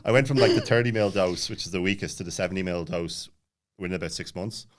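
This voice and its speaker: A man's voice: deep voice, fast. A man in his late thirties telling a personal story casually, rambling and jumping around with lots of filler words. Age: late 30s. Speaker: podcast guest.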